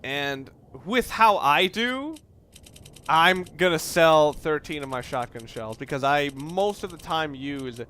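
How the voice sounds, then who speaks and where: A man's voice: a little high, animated, slow pace, bright, forceful tone, smooth. A man giving casual, analytical video game commentary, rambling and correcting himself as he goes. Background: video game sounds.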